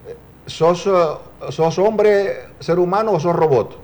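A man's voice: high-pitched, takes it slowly, darkish tone. A man hosting a TV show, asking teasing, probing questions in a playful, casual on-air chat. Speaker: TV host.

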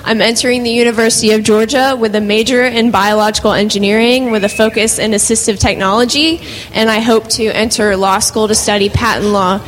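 A young woman gives a confident, prepared speech at a convention. Her voice is slightly high and echoes through a large hall, with some faint noise behind it. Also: fairly even intonation, a little fast. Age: young adult. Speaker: incoming college student.

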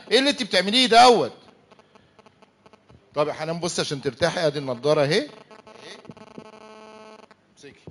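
A man's voice: high voice, a bit bright. A young man with an Egyptian accent playing an easygoing, defensive husband in a church radio comedy-drama, protesting in a pleading, exasperated way. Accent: Egyptian Arabic.

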